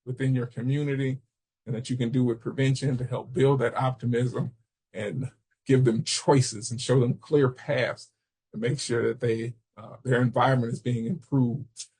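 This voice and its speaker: An American man from Chicago giving an informal training webinar, explaining haltingly with personal asides. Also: mid-range voice, a little mellow, breathy edge. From Chicago, United States. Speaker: webinar presenter, substance misuse prevention trainer.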